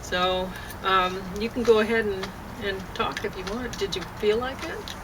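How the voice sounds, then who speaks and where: A woman's voice: mid-range voice, normal speed. Lady just chatting outside, kinda rambling, lots of street noise behind her. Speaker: poet and vlogger. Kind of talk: casual chat. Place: outdoors on a deck near downtown. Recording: clear outdoor urban and traffic noise.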